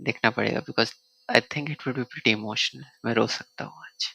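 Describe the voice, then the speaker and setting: A man's voice: mid-range voice, fairly even intonation, very fast, creaky, bright, forceful tone, breathy edge, low-quality recording. A man with an Indian accent chatting casually as he streams a game, slipping between English and Hindi. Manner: switches between English and Hindi mid-sentence. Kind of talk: casual livestream gaming chat.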